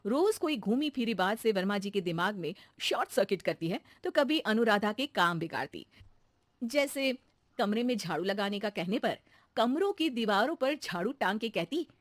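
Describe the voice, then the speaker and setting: Lively woman storybook narrator. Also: medium pitch, normal speed, dry recording.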